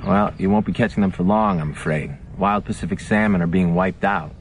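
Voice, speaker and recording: An actor playing an earnest, polite environmental attorney in a TV legal drama. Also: male voice, deep voice, brisk, a little hiss or hum, natural voice. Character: earnest, polite male environmental attorney, admiring but persistent.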